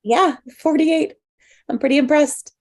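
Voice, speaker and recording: A woman's voice: sits high, a little slow, no room sound. A woman playing a spirited, self-doubting woman in a comedy script read, in a natural conversational manner. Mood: playful. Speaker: actor in an online script table read. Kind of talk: live comedy screenplay table read.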